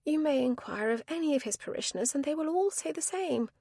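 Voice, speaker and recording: A prim, high-strung spinster with a high, lively voice that swoops up into shrillness, as a woman reads her. She speaks in formal, genteel phrases, and the sound is close and dry. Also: fairly quick, creaky, no echo. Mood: earnest, prim. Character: prim, nervous spinster woman, prone to shrieking.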